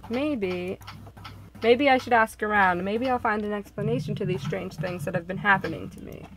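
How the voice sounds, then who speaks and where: Woman narrating a visual novel, mid-pitched. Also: moderate pace.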